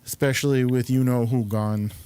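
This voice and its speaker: A man hosting a casual interview, speaking in a halting, hesitant way with many pauses. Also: medium pitch, steady tone, warm tone, clean tone. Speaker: show host and interviewer.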